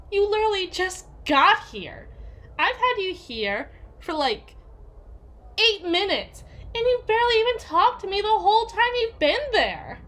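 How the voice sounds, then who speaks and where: A woman's voice: high-pitched, a bit bright, exaggerated voice. A woman playing a domineering, jealous yandere with biting sarcasm and a taunting, theatrical manner in an ASMR roleplay. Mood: exasperated, jealous. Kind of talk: ASMR roleplay audio drama.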